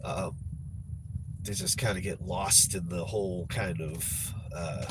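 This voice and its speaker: A man's voice: low-pitched, fairly even intonation, slow, heavy background noise. A man with a stuffed-up nose, chatting casually in a rambling vlog, repeating words and trailing off with sighs. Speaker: vlogger.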